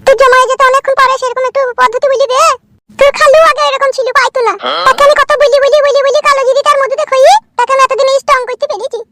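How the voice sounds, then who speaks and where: A man voicing a bossy, nosy older village aunt in a comic cartoon, with a rural West Bengal accent, a pitched-up voice and a preachy, earthy manner. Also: little pitch movement, very fast, noisy background. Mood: chatty, confiding. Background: music.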